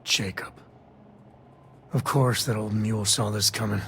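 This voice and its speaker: A gruff guarded cowboy type for a game, casual n wry, bit of noise behind. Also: male voice, on the low side, fairly even intonation, unhurried, fairly bright tone. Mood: exasperated. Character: gruff, guarded space cowboy, reluctant weary father. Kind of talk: video game dialogue acting.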